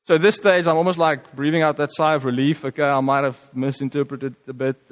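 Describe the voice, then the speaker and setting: A man with a South African accent, a native Afrikaans speaker, giving a reflective personal testimony as a preacher, conversational and halting with fillers. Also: medium pitch, long reverb tail.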